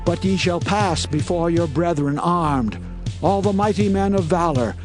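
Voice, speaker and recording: Ancient Israelite commander male actor: highish voice, declamatory, authoritative, scripture drama over music. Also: unhurried. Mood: commanding. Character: Joshua, bold male Israelite leader commanding people.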